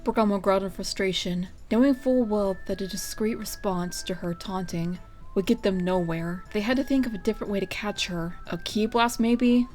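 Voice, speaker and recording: A woman reading a story aloud as its narrator, in an expressive scripted style. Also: medium pitch, brisk, soft background music. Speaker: fan fiction reader.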